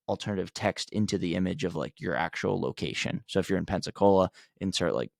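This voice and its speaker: A man's voice: low voice, gravelly, a little mellow, no room sound, clean studio sound. A man hosting an unscripted marketing tutorial, talking in a plain-spoken, conversational way as he gives emphatic advice. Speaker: host of marketing tutorial for contractors. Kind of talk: casual unscripted instructional talk.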